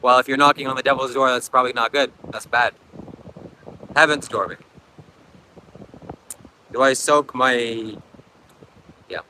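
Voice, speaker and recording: A man's voice: medium pitch, fairly even intonation, measured pace, long pauses, bright, forceful tone, low-quality recording. A man with a Ukrainian accent, English not his first language, chatting casually on a beach livestream and reading comments, coughing often and rambling reflectively. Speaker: livestream host. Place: outdoors at a beach. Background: faint wind.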